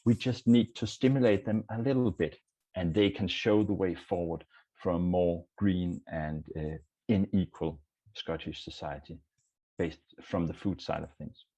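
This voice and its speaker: Male panelist from Denmark, low husky voice, earnest delivery. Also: measured pace, warm tone. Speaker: panelist, food policy professor. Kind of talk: panel talk.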